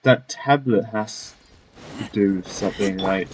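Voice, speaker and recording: A man's voice: fairly low pitch, unhurried, a little hiss or hum. A man giving casual commentary as he plays a video game. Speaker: gamer. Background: game music.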